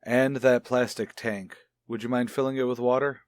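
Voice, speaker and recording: A man playing an inquisitive child psychologist, formal and deliberate, in a radio soap opera. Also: mid-range voice, steady tone, dry recording, clean studio sound, lightly acted. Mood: measured, curious.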